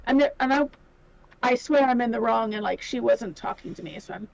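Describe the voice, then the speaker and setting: A woman's voice: a little high, brisk, vocal fry, no echo. A woman guesting on a podcast, chatting casually about an online game over a dull, lo-fi connection.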